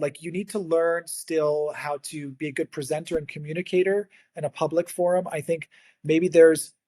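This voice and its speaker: A man, a native English speaker, giving earnest explanatory interview answers as a guest, sometimes halting and repeating words. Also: a little high, a little mellow, no echo. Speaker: guest, agritech consultancy founder.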